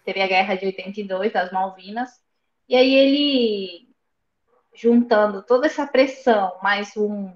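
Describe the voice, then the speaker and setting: A woman's voice: medium pitch, measured pace. A woman with a slight Argentine accent, a guest telling her family story in a chatty way over earphones and a phone on a remote call. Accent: slight Argentine Spanish. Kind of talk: casual storytelling interview. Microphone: earphones with phone in front. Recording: echoey, dull remote-call audio.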